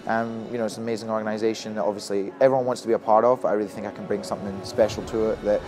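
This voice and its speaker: A man's voice: on the low side, level tone. A young man from Scotland who grew up in Australia, a Muay Thai fighter and coach, talking casually in a gym interview with many fillers. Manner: quick, chatty, many fillers and restarts. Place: boxing gym, indoors. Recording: music bed under voice.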